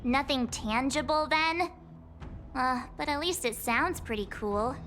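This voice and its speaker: A woman voicing a cheeky, excitable teenage girl adventurer in a video game, with a girlish, expressive delivery. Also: high voice, unhurried, slight background noise. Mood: deflated. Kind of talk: video game character dialogue.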